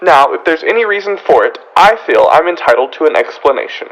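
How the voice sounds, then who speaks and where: A man's voice: a little high, expressive, fairly quick, fairly bright tone, low-quality recording. A young man playing a fussy, harried department store floorwalker in a radio comedy, prim and pleading, repeating his phrases. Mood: indignant. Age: young adult. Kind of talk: old-time radio comedy acting.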